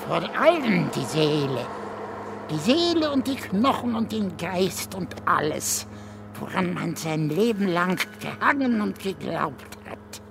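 A morbid old goatherd near death in a radio play. His thin, frail voice creaks heavily and moves very slowly, brooding and fatalistic. Clear background noise surrounds him. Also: high voice, big pitch swings, heavily acted. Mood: grim, brooding. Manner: slow, brooding, ominous. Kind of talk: radio drama acting.

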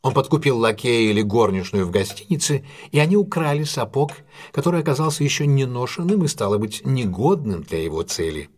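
An actor playing a composed, analytical male detective in an audio drama, explaining things in a deliberate, self-assured way. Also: medium pitch, very animated. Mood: composed, explanatory. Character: composed, analytical male English detective recounting a solved case.

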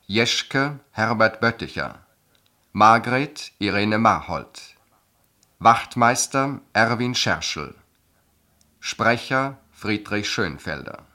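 Slow, crisp-bright male radio announcer. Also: on the low side. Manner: measured, formal. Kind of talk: formal scripted credits announcement.

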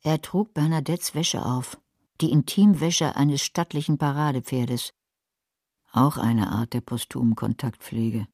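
Radio play: dry, sceptical older woman narrator, husky tone. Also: extremely low pitch, a little slow, a little mellow, no room sound, full character voice. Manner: dry, ironic. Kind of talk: radio drama acting.

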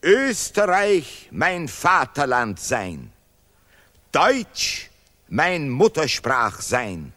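A man playing a pompous patriotic artist in a radio play, declaiming in repetitive, deliberately broken German. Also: a little high, sing-song, sharp tone, exaggerated voice. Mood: solemn, exalted. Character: pompous Nobel laureate artist, zealous patriot of language and fatherland.

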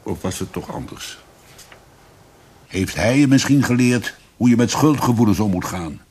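An actor playing a grave, probing police investigator in a radio drama, speaking in a measured, deliberate way. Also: male voice, medium pitch, animated, darkish tone, breathy edge.

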